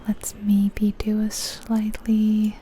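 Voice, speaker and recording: Woman, whispered ASMR game commentary, slow and even, faint noise behind, musing to herself. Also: mid-range voice. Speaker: ASMR creator.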